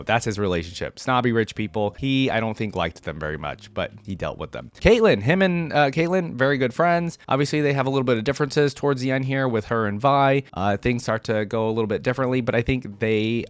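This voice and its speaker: A man's voice: on the low side, smooth. A man giving casual, off-the-cuff commentary as a video host, informal and hesitant as he thinks aloud.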